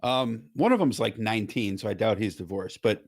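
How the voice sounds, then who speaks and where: Animated joking male podcast host. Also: fairly low pitch, a little fast, vocal fry, slightly rough. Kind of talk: casual podcast chat.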